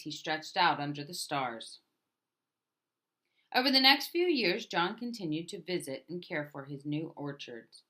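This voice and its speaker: Bright-voiced woman reading a storybook aloud. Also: lowish voice. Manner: deliberate storytelling with emphatic phrase openings. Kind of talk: scripted picture book narration.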